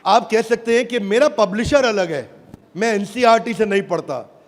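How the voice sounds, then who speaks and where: A man giving an energetic stage keynote to a live audience, emphatic and crowd-rousing, calling out for responses. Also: high voice, normal speed. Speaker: motivational business speaker launching an app. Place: large auditorium with a live audience. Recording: reverberant hall, crowd and noise.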